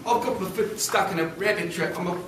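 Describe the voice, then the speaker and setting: A man's voice: high voice, a little fast, lightly acted. A man playing a hasty little squirrel. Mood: pleading. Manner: hasty, clipped, pleading. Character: hurried grateful male squirrel. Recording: echoey room from far off, noisy.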